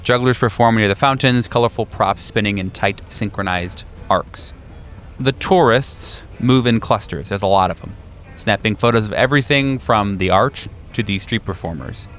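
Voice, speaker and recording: A man's voice: lowish voice, normal speed, fairly bright tone, faint music behind. A man acting as game master, describing scenes and guiding players in a tabletop roleplaying game.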